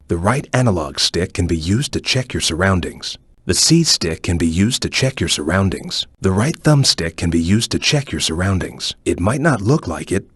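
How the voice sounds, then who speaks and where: A man playing a calm, serious ninja chameleon in a video game, speaking in a measured, stoic and focused way with a low, husky voice. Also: no room sound, studio quality, heavily acted. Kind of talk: video game voice lines, hints and tutorial instructions.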